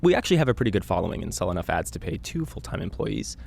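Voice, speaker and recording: A man playing an earnest island radio host, narrating an eerie mystery in a measured, conversational podcast style. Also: fairly low pitch, sing-song, gravelly, a little mellow, heavily acted. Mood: earnest, uneasy. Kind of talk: scripted audio drama narration, confessional podcast.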